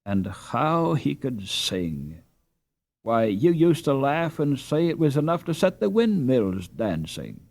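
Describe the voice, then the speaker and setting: A man's voice: mid-range voice, sing-song, slow, gravelly, a little mellow, exaggerated voice. A man reads as a peasant boy from a storybook. He is an earnest, devoted son. His manner is eager, admiring and full of exclamation.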